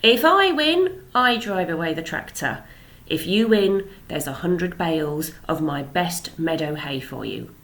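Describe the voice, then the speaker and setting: A proud male farmer, stubborn and deliberate, as a woman reads him aloud. He speaks slowly and challenges his rival with steady confidence, with the voice rising and falling a good deal. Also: lowish voice. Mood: challenging.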